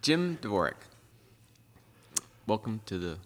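Low-voiced man hosting in a reverberant room. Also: very animated, slow pace. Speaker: podcast host. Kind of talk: casual podcast chat. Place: club podcast studio with car theme.

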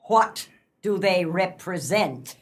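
A woman's voice: fairly low pitch, animated, slow pace. An actress in a radio play as a scowling, intimidating lady novelist, curt, cold and withering.

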